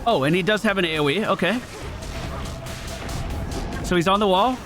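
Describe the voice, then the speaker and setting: A man's voice: high voice, moderate pace, over music. A man giving casual video game commentary, playfully thinking aloud and repeating his phrases. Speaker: gaming video host.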